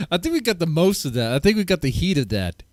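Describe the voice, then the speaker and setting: A man's voice: a little high, big pitch swings, brisk, no room sound, studio quality. A man hosting a livestream, laid-back and chatty, greeting viewers in the chat. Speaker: livestream host.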